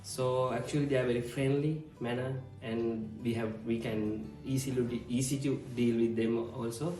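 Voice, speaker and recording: A man from Sri Lanka giving a short, halting video testimonial. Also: mid-range voice, steady tone, mellow, faint music behind. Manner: halting with fillers. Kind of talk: casual testimonial. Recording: echoey room, from a distance.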